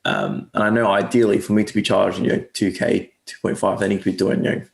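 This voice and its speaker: A man's voice: lowish voice, sing-song, fast, vocal fry, echoey room. A young man with a mixed English and Australian accent, chatting casually as a guest agency owner, rambling and correcting himself with lots of fillers. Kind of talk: casual interview chat.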